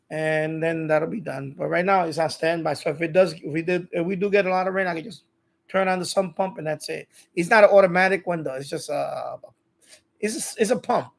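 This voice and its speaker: Guy doing a hobby livestream, kinda high gravelly voice, rambles and repeats himself, super informal. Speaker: live stream host of a radio hobby channel. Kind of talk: casual live stream chat, reading and answering comments.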